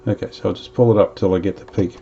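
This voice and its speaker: Lower-voiced man, casual hands-on workshop narrator who thinks aloud, dull lo-fi sound. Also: fairly even intonation, creaky. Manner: thinks aloud while working, chatty and unhurried. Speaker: vintage radio restorer.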